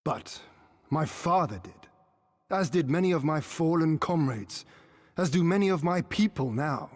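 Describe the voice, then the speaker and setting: Solemn young exiled prince, husky voice. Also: male voice, fairly high pitch, lively intonation, long reverb tail. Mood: earnest, determined. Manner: formal archaic diction, measured, oratorical. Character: young exiled prince of a conquered eastern land, earnest, stoic. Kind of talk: cinematic video game voice acting.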